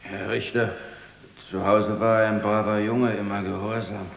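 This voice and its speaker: Distraught humble gendarme, halting pleading, gravelly creak, echoing hall, radio drama. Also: male voice, lowish voice, little pitch movement. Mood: shaken, humble. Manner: halting, deferential. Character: shaken, humble gendarme father pleading to a judge. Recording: echoey courtroom-like hall with long reverb.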